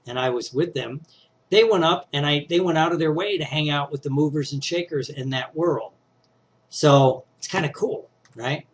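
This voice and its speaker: A middle-aged man with a slightly scratchy voice, teaching success skills in a casual, conversational talk that he often punctuates with "right?". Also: fairly high pitch. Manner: conversational, frequent "right?" and "you know" tags. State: scratchy voice, clearing throat.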